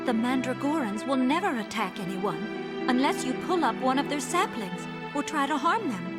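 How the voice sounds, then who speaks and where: A woman playing a gentle, earnest, sorrowful nun in a video game drama, solemn and pleading. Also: high voice. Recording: loud music under the voice. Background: loud dramatic music.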